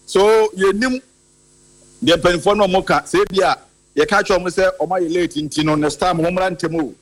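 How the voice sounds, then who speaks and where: Male Ghanaian field reporter, a bit high-pitched, halting delivery, radio sound with a hum. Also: low-quality recording. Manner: halting, many fillers, mixing Twi and English. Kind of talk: live on-site radio report.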